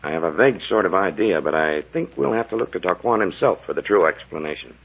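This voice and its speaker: A man's voice: sits low, lively intonation, quick pace, vocal fry, slightly rough, full character voice. A man playing a concerned, earnest expedition doctor in a 1940s radio drama. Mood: concerned, thoughtful. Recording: muffled vintage 1940s radio recording.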